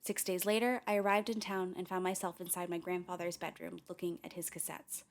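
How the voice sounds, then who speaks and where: An actress playing a determined, protective daughter in a radio drama, speaking bluntly and earnestly in dialogue and narration. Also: female voice, lowish voice, flat delivery, a little fast, close to an everyday voice. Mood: grave narration. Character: Jess, determined, defiant young woman, protective daughter. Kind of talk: radio drama acting and first-person narration.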